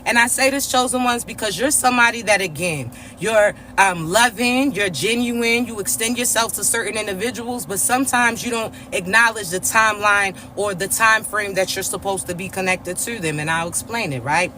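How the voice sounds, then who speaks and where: A man with a raspy, congested voice, giving a spiritual reading to his viewers in a slow, repetitive preaching way, often checking in with his listeners. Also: sits high, pushed and bright, a little hiss or hum. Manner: slow, repetitive preaching with frequent "all right?" check-ins. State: congested, stuffy nose. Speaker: spiritual reader and coach.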